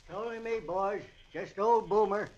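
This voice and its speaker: An actor plays an old tramp in a radio drama. His voice is pitched high, meek and folksy, as if to show he is harmless. The old broadcast sounds muffled. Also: normal speed, darkish tone, lightly acted. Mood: meek, placating. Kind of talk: 1940s radio drama acting.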